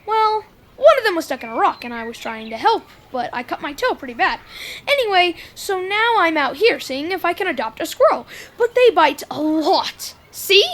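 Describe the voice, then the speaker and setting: A woman voicing an outgoing, chatty preteen boy at summer camp in a children's audio drama, breezy, informal and excitable. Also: very high voice, moderate pace, exaggerated voice.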